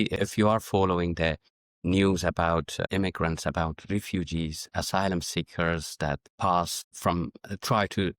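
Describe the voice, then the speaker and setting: A middle-aged man from Afghanistan whose first language is Farsi, answering interview questions in a measured, reflective way and often restarting his sentences. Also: low voice, dry recording, studio quality. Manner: measured, searching for words with frequent restarts. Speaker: guest, diplomat and educator.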